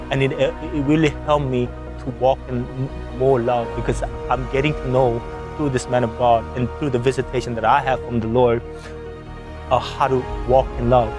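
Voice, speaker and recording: A man giving an earnest religious testimony, stumbling over his words. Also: medium pitch.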